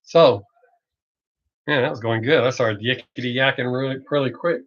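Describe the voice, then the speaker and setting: Middle-aged male streamer, American Southern drawl, blunt off-the-cuff chat with some swearing, mid-pitched voice, slightly muffled lo-fi audio. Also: fairly bright tone. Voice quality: Southern drawl masked by fast talk. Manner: blunt, rambling, profane, joking. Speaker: reaction video creator hosting a live stream. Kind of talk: casual livestream chat, off the cuff. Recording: dull lo-fi livestream audio.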